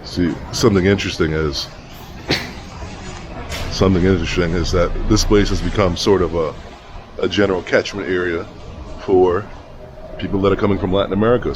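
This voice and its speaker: A man's voice: low-pitched, darkish tone. A man vlogging casually as he walks city streets, talking loosely and thinking aloud into a handheld camera mic. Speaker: travel vlogger. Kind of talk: casual walk-and-talk vlog. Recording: outdoor street noise. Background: street traffic and city ambience.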